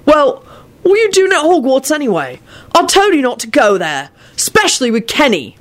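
Woman doing a bossy kid telling someone off, high pitched, exasperated, super animated storybook voice. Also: moderate pace. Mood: scolding. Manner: emphatic, scolding.

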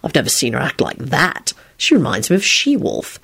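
A woman voicing a watchful grown man who speaks in hushed, cross tones. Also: a bass voice, huge pitch swings, extremely slow, gravelly, slightly rough, voice pushed down, heavily acted. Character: watchful grown man, gruff werewolf type.

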